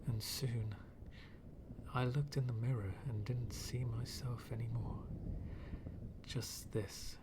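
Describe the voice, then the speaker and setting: A man playing a bitter, menacing villain in an ASMR roleplay, speaking in a brooding, dramatic, half-whispered way with long pauses. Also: mid-range voice, fairly even intonation, very noisy. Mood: brooding, resolute. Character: fallen-world villain, male, bitter, menacing, coldly philosophical.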